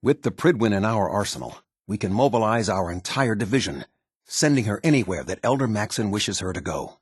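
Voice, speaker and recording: An American man playing a stern, formal military officer in a video game, speaking with husky, clipped, measured authority. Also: fairly low pitch, lively intonation, dry recording, studio quality. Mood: measured, grave briefing. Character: stern, formal male military officer of a post-apocalyptic order. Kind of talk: video game character dialogue.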